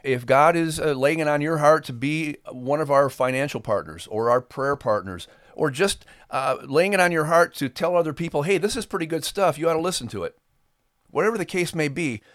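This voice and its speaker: A man's voice: on the high side, lively intonation, moderate pace, no room sound, clean studio sound. A middle-aged man hosting a podcast, speaking to listeners in an earnest, folksy, conversational way. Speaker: podcast host and ministry performer.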